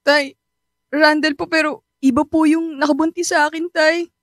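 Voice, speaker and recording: A woman's voice: high-pitched, fairly even intonation, moderate pace, no echo, clean studio sound, lightly acted. A woman playing a distraught, resentful teenage daughter in a radio drama, pleading and emotional. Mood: nervous, ashamed.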